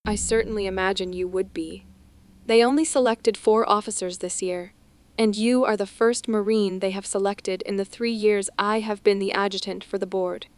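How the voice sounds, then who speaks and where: A man's voice: high voice, steady tone, moderate pace, smooth, no echo, pitched above the performer's own voice. A man voicing a pleasant, melodious female naval officer speaking formally on the phone. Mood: polite. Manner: soft, polite, formal.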